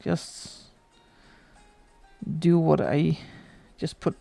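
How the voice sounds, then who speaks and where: Dutch woman with a gravelly voice. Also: low voice, level tone, extremely slow, warm tone, no room sound, soft background music. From Netherlands. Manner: chatty, laughs often, frequent restarts. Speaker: livestream host. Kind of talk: casual chat while colouring.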